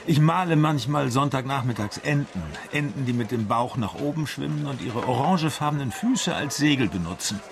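An actor playing a mild, polite, quirky Dutch traveller with a hesitant, understated manner in a German radio drama. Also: male voice, medium pitch, expressive, moderate pace, creaky, few pauses.

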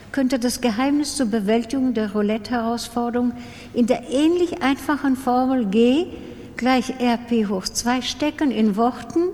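A woman reads scripted text for a radio piece. Her voice sits fairly high and carries a long hall reverb. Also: darkish tone. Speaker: reader of texts in a radio production. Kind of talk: scripted literary reading.

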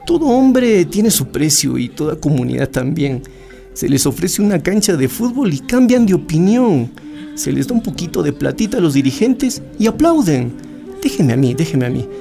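An actor playing a petulant, scheming mining company manager who talks in a smug, condescending way. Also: male voice, fairly high pitch, very animated, normal speed, gravelly, warm tone, soft background music.

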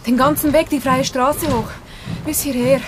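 An actress as defiant young woman, high voice. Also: fairly even intonation, moderate pace, noisy background, close to an everyday voice. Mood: breathless. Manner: indignant, stubbornly repeating accusations. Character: defiant, indignant young woman confronting jealous boyfriend. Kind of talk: German radio drama dialogue.

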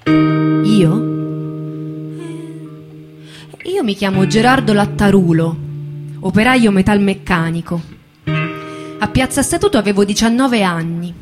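A woman's voice: medium pitch, animated, slow, long pauses. An earnest young metalworker voiced by a woman gives a theatrical testimony. The delivery is colloquial and insistent, circling back on words with pride. Guitar music plays strongly behind. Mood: reflective. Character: Gerardo, earnest proud young male metalworker remembering a riot. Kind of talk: theatrical monologue of personal testimony.

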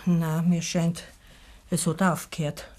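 An actress playing a practical, worried, middle-aged farmer's wife with a Bavarian accent in a 1950s dialect radio play. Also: female voice, low-pitched, little pitch movement, takes it slowly, darkish tone. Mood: resolute, knowing. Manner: plain-spoken, fretting dialect speech. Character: farmer's wife of many years, anxious, practical, scolding.

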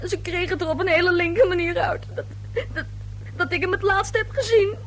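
A woman's voice: extremely high pitch, brisk, a little hiss or hum. An actress playing a nervous, easily upset young woman, a stammering and defensive daughter in a radio play. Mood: tearful, upset.

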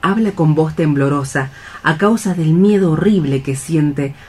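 A man's voice: on the high side, measured pace, a little mellow, slightly rough. A man narrating radio theatre, reading out scripted stage directions. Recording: faint music behind the voice. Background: faint music.

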